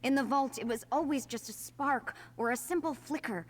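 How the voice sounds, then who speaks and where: Nervy young mage girl in a video game, really high voice, long reverb. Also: moderate pace. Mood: uneasy, afraid. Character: anxious young woman frightened of her fire magic. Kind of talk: video game character dialogue.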